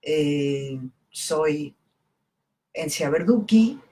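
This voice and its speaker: A middle-aged woman, Italian by birth, talking unhurriedly about her book, with many pauses and fillers in a live stream. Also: low voice, lively intonation, mellow. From Italy, raised in southern Mexico. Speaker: poet and editor presenting her book.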